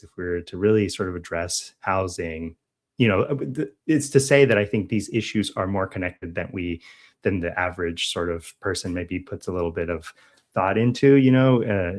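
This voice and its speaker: A low-pitched American man talks through local issues as a podcast co-host. His delivery is casual and halting, as he thinks out loud and corrects himself along the way. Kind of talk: casual news discussion.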